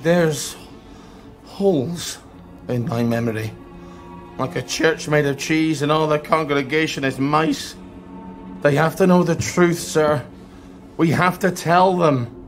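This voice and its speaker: High-pitched, troubled Scottish sergeant. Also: male voice, slow pace, pauses often, faint music behind. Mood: confused, grieving. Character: troubled, guilt-ridden Scottish ex-sergeant, blunt and excitable. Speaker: game character actor. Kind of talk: dramatic video game acting.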